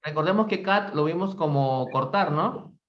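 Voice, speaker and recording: Brisk male English teacher, lo-fi call. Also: fairly high pitch, crowd noise. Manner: brisk, quizzing students in Spanish. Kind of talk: online class, calling on students.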